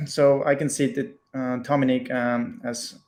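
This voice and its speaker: A man's voice: mid-range voice, flat delivery, unhurried, darkish tone. A man hosting a live Q&A webinar, hesitant with many ums and uhs, over a video call. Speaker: webinar host. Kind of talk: moderating a live Q&A.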